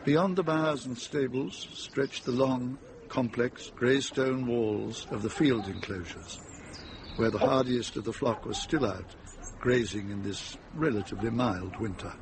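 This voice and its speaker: A man narrates a radio mystery in scripted prose. His voice sits at a middle pitch, and there is clear noise behind it. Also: unhurried.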